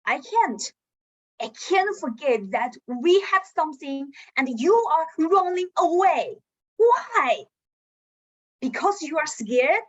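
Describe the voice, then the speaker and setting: A woman's voice: high voice, very animated, slow, heavily acted. A woman performing a dramatic monologue as a hurt, insistent lover who refuses to let go, emphatic and pleading. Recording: dull lo-fi sound.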